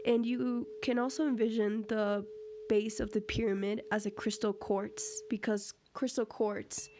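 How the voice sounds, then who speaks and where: A woman leading a guided meditation in a gentle, measured, instructional way with pauses. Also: medium pitch. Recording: steady humming tuning-fork tone behind voice. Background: crystal tuning fork tone, hum.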